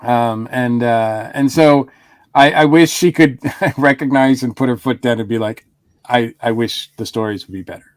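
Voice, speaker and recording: A man's voice: mid-range voice, normal speed, dry recording, clean studio sound. A man co-hosting a casual podcast review chat, rambling with frequent restarts and chuckles. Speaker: podcast co-host.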